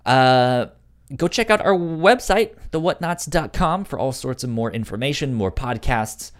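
A man's voice: medium pitch, expressive, unhurried. Casual man hosting a podcast. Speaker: podcast host.

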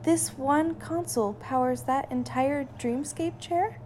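A woman playing a caring, sensible scientist, wife and mother in an audio drama, with a gentle, earnest and expressive delivery. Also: high voice, a little slow, a little hiss or hum. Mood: curious.

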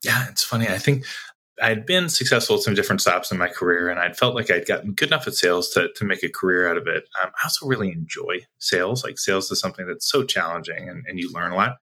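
A man's voice: low-pitched, lively intonation, quick pace, bright, forceful tone, slightly rough. A man answering interview questions as a sales executive guest, talking conversationally in a reflective, explanatory way.

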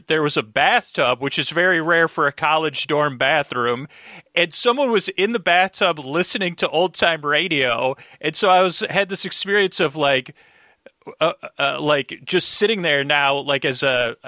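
A man with a bright, forward voice in a dry, close recording. He talks like a podcast guest in casual conversation, rambling and haltingly circling back on his own sentences as he explains. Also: a little high, no room sound. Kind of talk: casual interview chat, storytelling.

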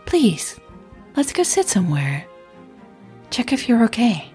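A woman voicing a caring, concerned blonde woman with a warm, gentle manner in an audio drama. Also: on the high side, huge pitch swings, measured pace, soft background music, pitched above the performer's own voice.

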